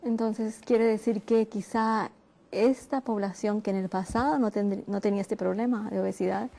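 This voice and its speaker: A woman hosting a television health program, opening the show and interviewing a guest in a gentle, earnest way. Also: mid-range voice, fairly even intonation, gravelly. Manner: gentle, earnest, drawn-out emphasis.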